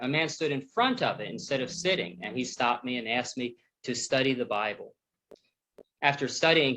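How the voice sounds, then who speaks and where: A man from the United States presents a personal testimony at an online church forum, talking plainly with frequent restarts and pauses. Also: medium pitch, a bit bright, rough recording. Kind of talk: personal testimony talk with slides.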